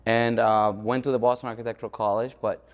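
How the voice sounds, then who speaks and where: A man's voice: mid-range voice, little pitch movement, quick pace. A man from the Dominican Republic talking informally as an architect guest in an interview, often checking in with the listener. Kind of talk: conversational interview. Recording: echoey hall-like reverb.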